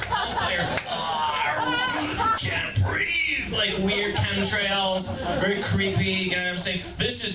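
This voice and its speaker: A man doing a stand-up comedy set in a club, self-deprecating and breaking into shouty bursts. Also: high voice. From Boston, Massachusetts. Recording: echoey club from far off. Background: audience laughter.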